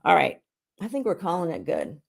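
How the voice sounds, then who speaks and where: A middle-aged woman who is hoarse while getting over an illness, chatting casually as she hosts a live skincare demonstration at home. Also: on the low side, huge pitch swings, fairly quick. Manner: chatty and rambling, with frequent restarts. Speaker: beauty consultant and show host.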